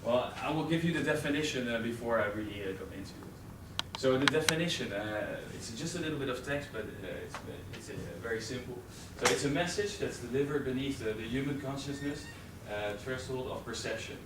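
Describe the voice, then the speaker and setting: Young Dutch man presenting live, halting and filler-heavy; recorded from far back in a roomy, noisy training room. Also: mid-range voice. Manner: hesitant, many fillers and restarts. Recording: roomy, distant camera audio with noise.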